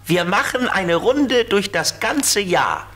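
A man's voice: high voice, big pitch swings, slow pace, bright, forceful tone, exaggerated voice. An actor voicing a friendly, playful little flying ladybird car in a 1970s children's radio play, cheerful and reassuring. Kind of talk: 1970s children's audio drama acting.

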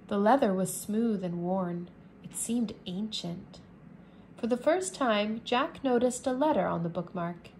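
A woman teacher reading a children's chapter book aloud, slowly and clearly, indoors at a table. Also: medium pitch, slight background noise. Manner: slow, careful, clear reading. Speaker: English teacher.

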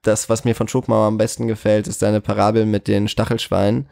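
A man in a casual interview as a guest, slightly croaky from a cold, speaking in a hesitant, reflective way. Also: fairly low pitch, little pitch movement, a little fast, a little mellow, no room sound, studio quality. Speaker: interview guest, cinema manager.

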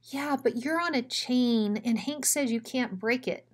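A woman's voice: on the high side, unhurried, voice pushed up. A woman voicing a small, timid male dog sidekick in a storybook, nervous, hesitant and naive. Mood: goofy, naive.

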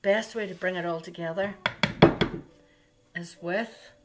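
A woman's voice: fairly low pitch, fairly even intonation, a little slow, heavy background noise. An older woman, hosting a children's baking lesson in her home kitchen and talking straight to the young viewers with coaxing questions. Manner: chatty, talks directly to children, coaxing questions. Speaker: baking show host. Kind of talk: children's cooking demonstration.

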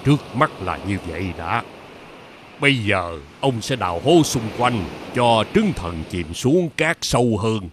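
A man playing a kindly old mandarin in an animated fairy tale, gentle and deferential. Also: lowish voice, a little slow, background noise. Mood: calm, practical. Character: kindly old court mandarin posing as a grandfather. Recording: background music under the voice.

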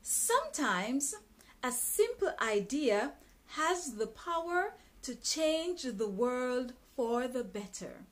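A woman in her thirties reading an English textbook passage aloud in a careful, clearly enunciated teaching style, in a small bright room. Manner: careful, deliberate reading with expressive pitch. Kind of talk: slow, clearly enunciated read-aloud lesson.

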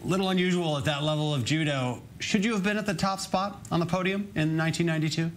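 A man's voice: on the high side, fast talker, a little hiss or hum. A man in his thirties speaking as a news anchor, putting questions to a guest in a broadcast interview. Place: TV news studio.

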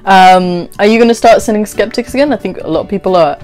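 Animated young woman chatting casually. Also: mid-range voice, fast, faint music behind. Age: 20s. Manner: animated, giggly. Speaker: vlogger, host. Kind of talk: casual chat, storytelling. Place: small bedroom, indoors.

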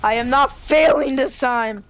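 A high-voiced, excitable gamer improvises a zombie apocalypse roleplay in a melodramatic way. The recording has faint noise with game sounds behind the voice. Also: a little slow. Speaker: gaming video creator playing a roleplay character.

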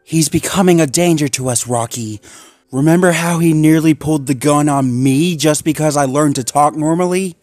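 A hard-edged young male Labrador pup with a raised, forceful voice, set on a drastic plan, as a man voices him in a dark story reading. Low music plays faintly beneath. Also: normal speed, no echo, natural voice. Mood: grim. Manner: intense, forceful and resolute. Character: determined, grim young male Labrador dog.

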